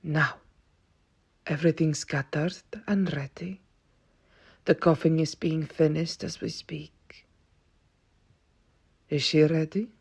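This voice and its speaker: A woman acting as a stern, ancient vampire warrior aunt in an audio roleplay, with a husky, breathy, creaky voice and grave delivery. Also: low voice, fairly even intonation, a little mellow, a little hiss or hum, full character voice. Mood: grim, resolved. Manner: grave, slow, dramatic delivery.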